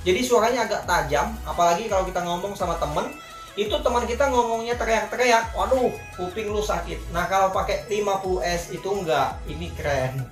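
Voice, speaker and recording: A man's voice: high-pitched, a little fast. Casual Jakarta man in an echoey room. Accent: Jakarta Indonesian. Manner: informal, colloquial, repeatedly addressing viewers as friends. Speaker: vlogger reviewing gear. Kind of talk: casual product review talk. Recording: echoey room with faint music.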